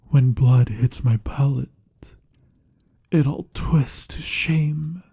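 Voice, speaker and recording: A man playing a melancholy, courtly vampire lover in a romantic ASMR audio roleplay, speaking softly in formal, old-fashioned, measured phrases with a breathy, husky voice. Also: medium pitch, full character voice. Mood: anguished, ashamed. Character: melancholy, courtly male vampire lover, patient but pained.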